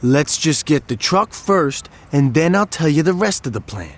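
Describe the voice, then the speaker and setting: An actor playing a calm, strategic gang planner who speaks in a dry, sarcastic, deliberate way in video game cutscenes. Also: male voice, fairly high pitch.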